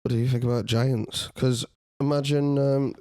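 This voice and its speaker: A man's voice: mid-range voice, steady tone, normal speed, mellow, dry recording. A young man co-hosting a podcast, rambling playfully and hesitating with ums in casual chat. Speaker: podcast co-host.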